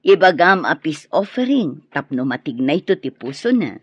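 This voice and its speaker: Husky, sly boss figure. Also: male voice, a little high, big pitch swings, unhurried, dry recording, heavily acted. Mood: sly, amused. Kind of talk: radio drama acting. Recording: muffled, lo-fi radio drama audio.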